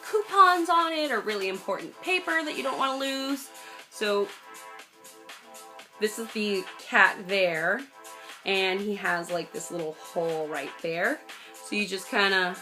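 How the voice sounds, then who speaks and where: A woman's voice: mid-range voice, lively intonation, a little slow, bright, forceful tone, faint music behind. A young adult American woman chatting casually to camera as she tells a personal story, bubbly, gushing and emphatic. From United States. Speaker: vlogger telling a personal story.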